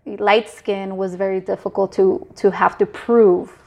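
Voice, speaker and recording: A woman's voice: medium pitch, a little slow, muffled recording, slight background noise. Chatty middle-aged woman guest. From Mexico. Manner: relaxed, chatty, with many fillers and restarts. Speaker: guest, business manager. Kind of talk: casual interview chat.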